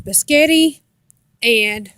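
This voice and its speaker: High, slow-talking American woman. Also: fairly bright tone, a little hiss or hum. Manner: rambling, repetitive, giggly storytelling with nonstandard folksy grammar. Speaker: storytelling vlogger. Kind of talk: casual personal storytime.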